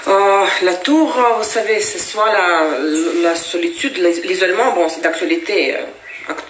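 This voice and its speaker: A woman's voice: lowish voice, measured pace, a bit bright, a little hiss or hum. Chatty woman, native Russian speaker. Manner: chatty, explaining, frequent "hein" and hesitations. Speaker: tarot reader. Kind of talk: casual tarot reading to viewers. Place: at home with her cat. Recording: echoey room.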